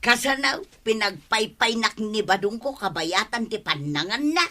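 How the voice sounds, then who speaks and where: An actress playing a boastful, quarrelsome village wife in an Ilocano radio comedy, with an Ilocano accent and exclamatory, drawn-out delivery. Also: female voice, mid-range voice, expressive, sharp tone. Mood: complaining, excitable.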